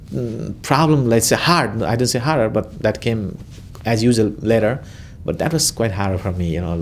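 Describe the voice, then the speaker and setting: A man's voice: fairly low pitch, slight background noise. A man in his thirties with a Nepali accent, answering interview questions in a hesitant way, with many fillers and restarts.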